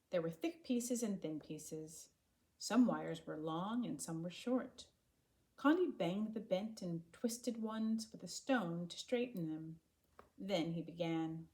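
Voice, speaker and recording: A woman reading a children's story aloud in an expressive, animated way, acting out the dialogue. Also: lowish voice. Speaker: storybook reader.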